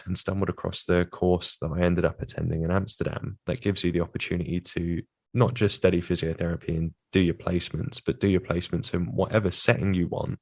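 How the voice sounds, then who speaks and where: A young British man, a physiotherapist, answering interview questions in a measured way, with many fillers and restarts. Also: sits low, dry recording. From United Kingdom. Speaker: guest, physiotherapist.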